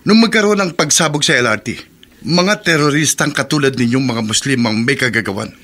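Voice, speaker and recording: A man's voice: mid-range voice, brisk, bright, forceful tone. An actor playing an angry, accusing young man with a confrontational manner in a Filipino radio drama.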